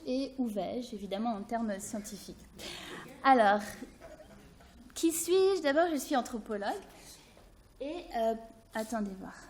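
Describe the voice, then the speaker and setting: A middle-aged woman from France, an anthropology professor presenting her research to an audience, pausing often with fillers and restarts. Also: sits high, a little mellow, slight background noise. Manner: hesitant, many fillers and restarts. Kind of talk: academic presentation with slides.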